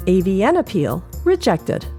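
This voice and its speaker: A woman's voice: medium pitch, very animated, unhurried, dark tone, soft background music. A woman with a Canadian accent reading a scripted newsletter in a light-hearted way for a podcast.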